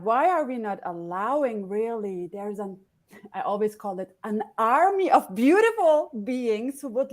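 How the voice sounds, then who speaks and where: A woman talking in a casual panel chat, animated and acting out her own quotes. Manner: animated, swings into high acted-out quotes. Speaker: facilitator on a panel.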